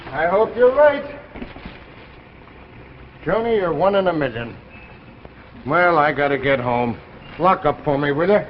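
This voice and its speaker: Wry American shopkeeper, noisy recording. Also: male voice, fairly high pitch, sing-song, unhurried, long pauses. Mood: wry, friendly. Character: friendly, wry shopkeeper closing up for the night. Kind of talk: 1950s television drama acting. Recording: noisy muffled vintage broadcast.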